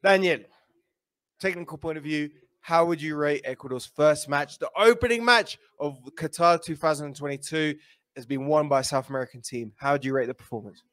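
A man in his thirties hosts a casual live football chat from a small studio, speaking upbeat and easygoing into a desk mic. His voice sits slightly high for a man. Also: a little fast, a bit bright, dry recording. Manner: upbeat, reads viewer comments aloud. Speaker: show host. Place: small indoor studio with a backdrop.

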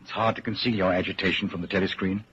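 An actor playing a grave narrator in a 1940s radio drama, speaking with measured, deliberate delivery. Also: male voice, sits low, fairly even intonation, a bit bright, slightly rough, heavily acted. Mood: grave, suspenseful. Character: grave narrator telling the listener his own story in second person. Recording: scratchy, muffled 1940s radio broadcast.

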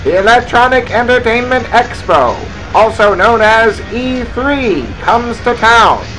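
Male, high voice, unhurried, parody newsreel announcer, mock-grandiose, faint background music. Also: expressive, sharp tone, rough recording. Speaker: newsreel narrator. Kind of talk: parody old-time newsreel narration.

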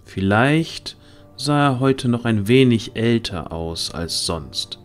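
A man's voice: medium pitch, expressive, slow, faint music behind. A man giving scripted story narration for a fantasy audio drama.

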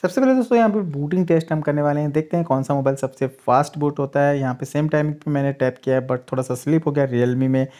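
A man's voice: a little high, quick pace, few pauses, dark tone. A man, the host of a tech channel, steadily narrating a side-by-side phone speed test and addressing viewers as friends.

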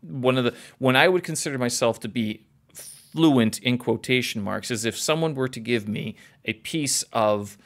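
A man's voice: mid-range voice, moderate pace. Chatty Canadian man, rambling. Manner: rambling, self-correcting, laughs at himself. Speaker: podcast host. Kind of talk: casual conversation over a call.

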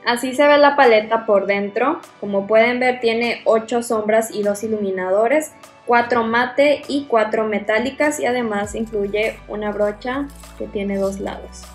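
A young woman with a Mexican accent hosts a makeup review in a fairly high voice. She speaks casually and with enthusiasm. The room adds clear echo, and music plays behind her. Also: measured pace. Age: young adult. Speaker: beauty reviewer and video host. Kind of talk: makeup product review to camera.

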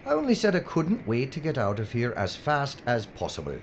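A man's voice: medium pitch, wildly swooping pitch, a little fast. An actor playing an anxious, whiny, bumbling film crew man in an audio drama. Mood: defensive, panicky. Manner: flustered, whiny, babbling. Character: anxious bumbling male film crew member, easily flustered. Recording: dull, noisy audio drama recording.

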